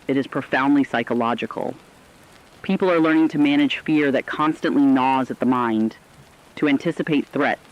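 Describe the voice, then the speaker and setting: Dull, slightly lo-fi audio of an actor as an earnest male radio correspondent. His mid-pitched voice delivers scripted dramatic news in a formal, measured way. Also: close to an everyday voice. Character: earnest radio reporter analysing a world catastrophe.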